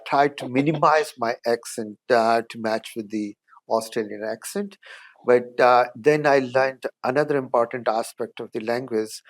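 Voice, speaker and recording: A middle-aged man with an Indian accent speaks as a professor in an interview. He explains at a measured pace, stopping often with small hesitations. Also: medium pitch. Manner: measured, explanatory, frequent "uh" pauses. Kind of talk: interview answers.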